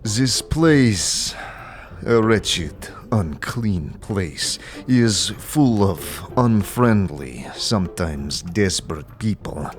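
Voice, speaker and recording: A man voicing a big goat-man warrior in an audio drama. He is low-pitched and slow, speaking formally and with measured pride, always a little wary. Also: lively intonation, faint music behind. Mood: guarded, disdainful. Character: wary, proud goat-man warrior, tall four-legged creature.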